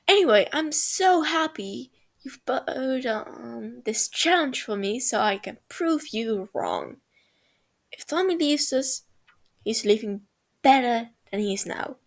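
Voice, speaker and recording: A playful, clingy young man with a lifted, teasing voice and a slight gravelly rasp, as a woman reading a story puts him on. He speaks slowly, fond and needling, in a storybook. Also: a little high, lively intonation, heavily acted.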